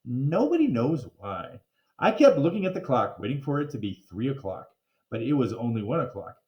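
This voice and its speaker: A man reading a children's story aloud in a playful, expressive way with theatrical emphasis. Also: medium pitch, moderate pace, lo-fi sound. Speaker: reader of children's book. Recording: roomy, echoing room.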